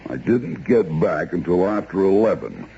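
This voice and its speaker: Haughty low-voiced lawyer, swooping delivery. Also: male voice, sing-song, moderate pace, creaky, dark tone, full character voice. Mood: indignant, defensive. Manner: curt, defensive, precise. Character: indignant, haughty male attorney and secret lover. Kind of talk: 1970s radio drama acting. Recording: dull, noisy old radio recording.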